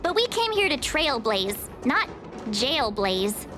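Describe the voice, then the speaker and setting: A cheeky young girl adventurer from a video game. She has a high, very animated voice and a blunt, playful delivery, with music behind her. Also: slow pace, heavily acted. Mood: cheeky, suspicious. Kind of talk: scripted game dialogue.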